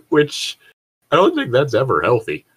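A man's voice: mid-range voice, breathy edge. A man bantering casually and reading aloud as a show host, with a sweary, exasperated manner.

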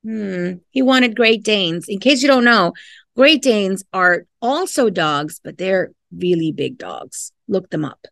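Female teacher, middle-aged, storybook read-aloud for kids; lively swooping intonation, unhurried, explanatory. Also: medium pitch, vocal fry, no echo, clean studio sound. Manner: expressive, explains words and prompts listeners with questions. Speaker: art teacher reading a picture book aloud. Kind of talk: storybook read-aloud for children, with questions to listeners. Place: classroom, indoors.

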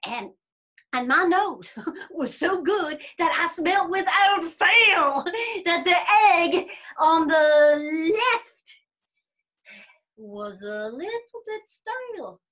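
A woman voicing a boastful, sulky male bear in a storybook, with a blustering, drawn-out and emphatic delivery. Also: very high-pitched, expressive, pushed and bright, pitched above the performer's own voice, exaggerated voice. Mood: gloating. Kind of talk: animated storybook reading.

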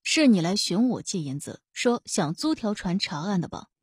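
A woman voicing a cool, haughty young male imperial guard officer, calm and unhurried with dry sarcasm. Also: medium pitch, slightly rough, no room sound. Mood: cool, curt.

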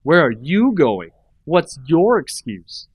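A man reading aloud and voicing a sharp-tongued, sarcastic woman necromancer in a raised voice. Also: a little high, big pitch swings, takes it slowly, husky, no echo, full character voice. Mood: scornful. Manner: snappish, sarcastic.

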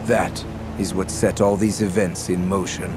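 Deep, slow, cold young villain. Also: male voice, level tone, background noise. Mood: cryptic, calm. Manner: solemn, measured, cryptic. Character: cold, composed young male villain, cryptic time traveller. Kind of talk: video game cutscene acting.

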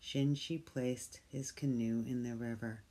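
A calm reader takes a picture book at an unhurried pace. The tone is dark and mellow, gentle and soft-spoken, and now and then sinks into a hushed whisper. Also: mid-range voice, poor audio. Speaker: storybook reader. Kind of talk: slow picture-book read-aloud.

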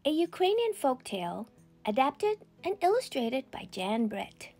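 A woman reading a children's picture book aloud as a storyteller, with expressive, dramatic and deliberate phrasing. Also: high voice, husky, faint music behind.

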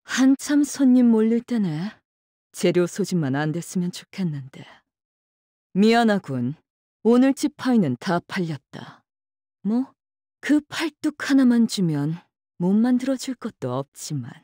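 A woman voicing a cold, curt female chef and swordswoman in a video game, with a hushed, deadpan, sinister delivery. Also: medium pitch, measured pace, no echo, clean studio sound. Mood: cool, teasing. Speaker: voice actor for a game character. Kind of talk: Korean video game character voice lines.

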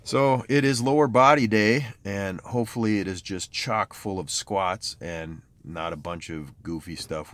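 A middle-aged man vlogging casually from his car and home, chatty and a self-described complainer. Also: extremely low pitch. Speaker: fitness vlogger. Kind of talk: casual vlog talk. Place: parked car, then outside a truck, then home office.

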